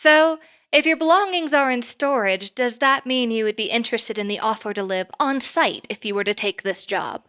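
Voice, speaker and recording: A woman playing a courteous, businesslike manager in an audio drama, speaking in a formal, measured way. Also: fairly high pitch, a bit bright, clear, resonant tone, natural voice. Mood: polite, interested. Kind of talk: naturalistic audio drama acting.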